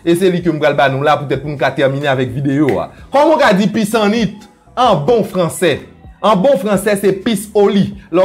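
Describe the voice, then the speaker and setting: Man, Haitian Creole accent, fairly high voice, playful teacher, low asides, faint music behind. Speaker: language teacher. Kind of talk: lively video lesson.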